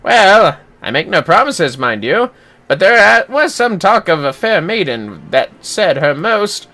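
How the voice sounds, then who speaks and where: A streamer voicing a gruff, wry male informant from a video game, with rough colloquial talk. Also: medium pitch, sing-song, unhurried, bright, forceful tone, exaggerated voice. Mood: wry, guarded.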